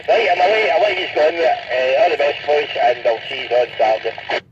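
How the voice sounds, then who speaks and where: A man's voice: a little high, moderate pace. A Scottish man chatting casually as an operator over a CB radio link. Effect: CB radio transmission. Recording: dull, noisy CB radio link.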